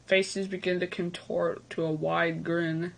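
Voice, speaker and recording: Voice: medium pitch, level tone, slow pace, vocal fry. A casual reaction video host with a mid-range voice, reading text aloud and commenting on it in an offhand way. There is some faint noise and clicking in the recording. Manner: casual asides between read-out passages.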